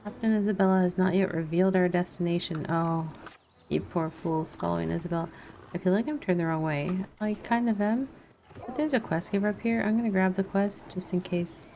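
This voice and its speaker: A woman's voice: on the low side, brisk, dark tone, slight background noise. A woman streaming a video game, giving casual, playful commentary as she thinks aloud.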